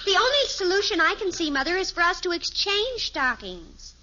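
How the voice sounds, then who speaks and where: A woman's voice: very high-pitched, big pitch swings, normal speed, pushed and bright, full character voice. A woman playing an eager, whiny young daughter in a 1950s radio sitcom. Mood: dramatic, pleading. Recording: muffled, noisy old radio recording.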